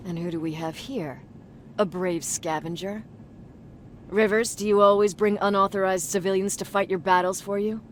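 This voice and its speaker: A woman's voice: medium pitch, steady tone, moderate pace, slight background noise, natural voice. A woman playing a stern, contemptuous resistance field commander in a video game, speaking with intimidating sarcasm. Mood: cold sarcasm. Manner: sardonic, intimidating. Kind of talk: video game dramatic acting.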